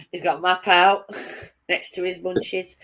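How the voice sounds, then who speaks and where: A woman's voice: mid-range voice, slightly rough. A woman co-hosting a casual live chat stream, teasing and laughing often.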